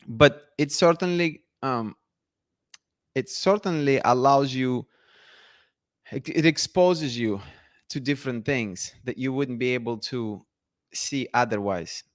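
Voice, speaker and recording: A man's voice: mid-range voice, takes it slowly, pauses often. A man hosting a casual live chat, reading viewer comments and talking with many restarts and fillers. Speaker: livestream host.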